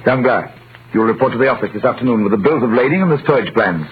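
An actor playing a dignified, fair-minded shipowner with an air of authority, in 1930s radio drama style. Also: male voice, mid-range voice, animated, quick pace, close to an everyday voice. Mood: businesslike. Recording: narrow vintage radio, faint noise.

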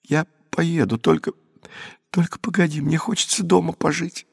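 Man acting a whimpering, high-pitched little schoolboy. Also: animated, normal speed, vocal fry, breathy edge, no echo, studio quality, heavily acted. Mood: tearful pleading. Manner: stammering, whimpering, hesitant. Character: timid tearful young schoolboy, reluctant and homesick.

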